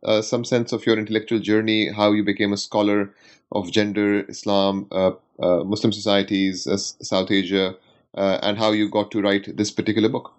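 Halting, lower-voiced man hosting an interview. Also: flat delivery. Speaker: podcast host interviewer. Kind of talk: formal scholarly interview.

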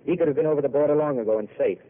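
An actor playing a weary, eloquent intellectual who makes theatrical speeches, in old radio drama. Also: male voice, on the high side, rapid-fire, lightly acted. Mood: wistful. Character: weary poetic intellectual man, wistful and eloquent. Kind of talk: 1930s radio drama acting. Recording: tinny old broadcast with hiss.

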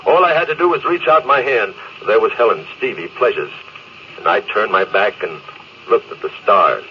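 An actor playing a doomed spaceship captain, regretful and resigned, in a wistful, confessional 1950s radio drama style. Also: male voice, on the low side, expressive, normal speed, natural voice. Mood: bitter regret. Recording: noisy muffled vintage radio recording.

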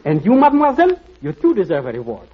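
A man's voice: very high-pitched, wildly swooping pitch, fast talker, slightly rough, heavily acted. An actor playing a flowery, over-polite male tenor singer in a 1940s radio comedy, in a dull old broadcast recording. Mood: gushing. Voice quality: theatrical, over-polite continental manner.

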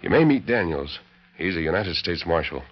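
Masked western hero: steady, commanding, resolute. Vintage radio drama, muffled and noisy. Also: male voice, low-pitched, moderate pace. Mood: calm, urgent. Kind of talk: old-time radio western acting.

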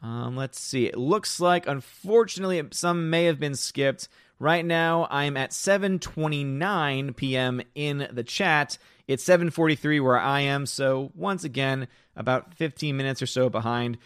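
A man live-streaming, reading chat comments aloud and rambling in casual talk, slightly hoarse from losing his voice. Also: mid-range voice, a little slow, a bit bright, no echo, studio quality.

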